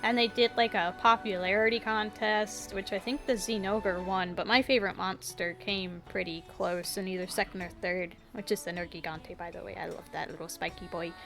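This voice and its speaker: A woman with a gravelly voice, chatting casually and a little self-deprecatingly while she plays a video game. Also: medium pitch, fairly even intonation, normal speed, hardly any pauses. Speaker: gaming streamer. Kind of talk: casual commentary while playing. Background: game music.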